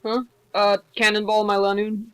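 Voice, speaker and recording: A woman's voice: mid-range voice, level tone, moderate pace, smooth. A woman chatting casually and self-deprecatingly with friends over an online voice call.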